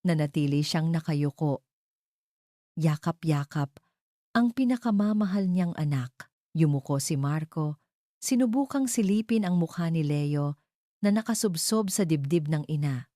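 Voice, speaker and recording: Female narrator for romantic audio drama; low, soft-edged mellow voice, dry close sound. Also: animated, a little slow, long pauses, dry recording. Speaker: storyteller.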